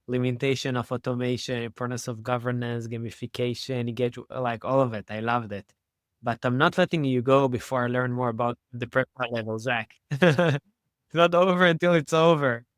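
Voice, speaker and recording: A man's voice: medium pitch, clear, resonant tone, no echo, studio quality. A man hosting a podcast interview, upbeat and full of effusive praise for his guest. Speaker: podcast host.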